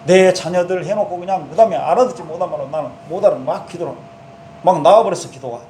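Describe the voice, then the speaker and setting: Male pastor, Jeolla accent, fairly high voice, preaching casually in a reverberant sanctuary. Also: fast talker, dark tone, a little hiss or hum. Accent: Jeolla dialect. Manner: conversational preaching, acting out prayers in first person. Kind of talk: sermon.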